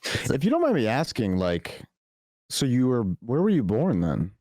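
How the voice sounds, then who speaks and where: A man's voice: medium pitch, wildly swooping pitch, moderate pace, darkish tone, no echo, studio quality. A man co-hosting a podcast, laid-back and encouraging toward a guest. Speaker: podcast co-host. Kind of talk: casual podcast chat.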